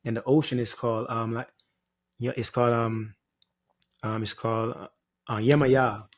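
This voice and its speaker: A man's voice: mid-range voice, little pitch movement, normal speed. A man from Jamaica, talking reflectively as an interview guest in a meandering, informal way peppered with "you know" and "like". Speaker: spiritual guide, podcast guest.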